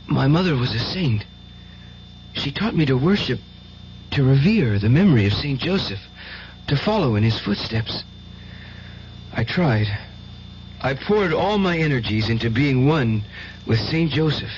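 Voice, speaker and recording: A man's voice: medium pitch, lively intonation, unhurried, pauses often, natural voice. An actor playing a fervent, tormented young man with psychic powers in an old-time radio drama, earnest and intense. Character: fervent, tormented young male psychic nephew, devoutly religious. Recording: dull, hissy old radio recording.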